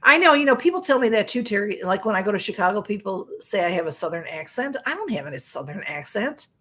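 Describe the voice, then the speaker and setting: A woman's voice: medium pitch, very animated, fast talker, fairly bright tone, slightly rough. A woman in her sixties chatting casually on a live stream, reading viewer comments aloud, rambling with lots of fillers and frequent laughs. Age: 60s. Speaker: livestream host.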